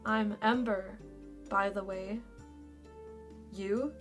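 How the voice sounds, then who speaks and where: A woman's voice: medium pitch, fairly even intonation, very slow, faint music behind. A woman playing a blunt, sardonic young female dragon in a fan fiction audio drama, brusque and casual in manner. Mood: wry, blunt.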